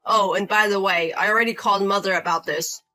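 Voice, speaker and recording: A woman's voice: medium pitch, steady tone, brisk, bright, forceful tone, faint music behind, close to an everyday voice, rough recording. A woman voicing a protective husband who firmly confronts his older brother, indignant and stern, in a voice-acted drama story. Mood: angry.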